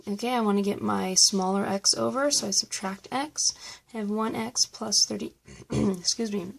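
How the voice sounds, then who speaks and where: A woman math teacher talking through review problems step by step, slightly hoarse from losing her voice. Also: medium pitch, steady tone, moderate pace. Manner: patient, step-by-step explaining. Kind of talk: recorded math review lesson.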